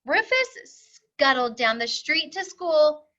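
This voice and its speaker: A woman's voice: high-pitched, sing-song, slow pace, pushed and bright, dull audio. A woman teacher reading a picture book aloud to young children, expressively, with simple explanatory asides.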